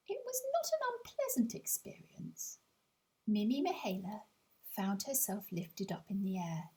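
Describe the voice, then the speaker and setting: A woman narrating a children's audiobook, telling the story expressively in a measured way with deliberate pauses. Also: a little high, a little mellow, rough recording. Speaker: author reading their own audiobook.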